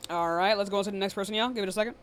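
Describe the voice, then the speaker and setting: A woman's voice: mid-range voice, steady tone, rapid-fire. A woman hosting a live debate stream in a casual, blunt, dismissive and often profane way. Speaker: livestream debate host.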